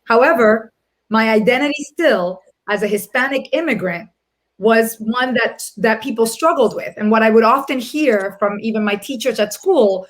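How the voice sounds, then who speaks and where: A middle-aged woman raised in the Dominican Republic speaking on a panel over a video call, earnest and reflective, often pausing and restarting her sentences. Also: a little high, poor audio. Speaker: panelist, corporate people executive. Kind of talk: panel discussion.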